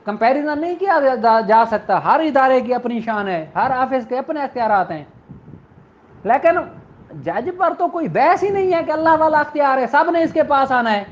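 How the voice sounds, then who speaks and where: Guy teaching law, high voice, slow and fatherly, muffled lo-fi audio w a bit of hiss. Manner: slow, deliberate, fatherly, calls students "son". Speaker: law lecturer. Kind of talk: classroom lecture to exam students. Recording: muffled lo-fi recording, faint noise.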